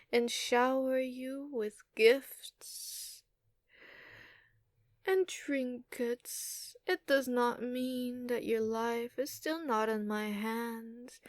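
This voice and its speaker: A woman voicing an ageless giantess dragon in an ASMR roleplay, haughty, doting and possessive, teasing with condescension and drawn-out hums. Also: fairly high pitch, no echo, studio quality. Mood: possessive, menacing.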